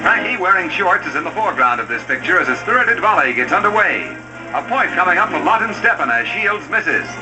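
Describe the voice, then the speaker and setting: A man's voice: high voice, very animated, a little fast, poor audio. A man narrating an old newsreel from a script in a dramatic, declamatory announcer's delivery. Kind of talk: scripted vintage newsreel commentary. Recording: old newsreel soundtrack with loud music. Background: music.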